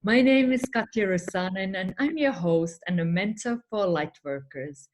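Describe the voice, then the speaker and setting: A woman hosting an interview show, speaking in a gentle, encouraging way. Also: medium pitch, expressive, normal speed. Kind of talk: scripted show intro and interview.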